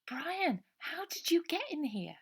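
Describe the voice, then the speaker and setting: A woman's voice: very high voice, big pitch swings, slow, fairly bright tone, voice pushed up, exaggerated voice. A woman voicing an anxious, earnest young girl in a 1930s children's story. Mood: puzzled, urgent.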